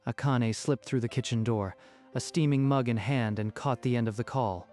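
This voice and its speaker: A man's voice: mid-range voice, moderate pace, a little mellow. A man narrating a scripted dramatic story in a literary storytelling style. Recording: music behind the voice.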